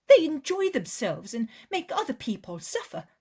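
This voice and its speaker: A woman's voice: a little high, lively intonation, measured pace, breathy edge, voice pushed up. A woman doing a poor, anxious village mother. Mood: bitter. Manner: pleading and complaining, raised voice.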